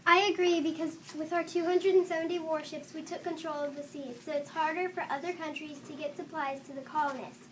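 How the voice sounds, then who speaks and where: A woman's voice: sits high, fairly even intonation, fairly quick. A young female student making points in a classroom debate. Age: child or young student. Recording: distant mic, clearly noisy room.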